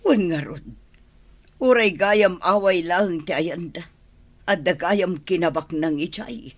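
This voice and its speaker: A woman's voice: fairly low pitch, takes it slowly. A woman with an Ilocano accent playing a scheming, money-minded middle-aged mother in a radio drama. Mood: gossipy, grasping.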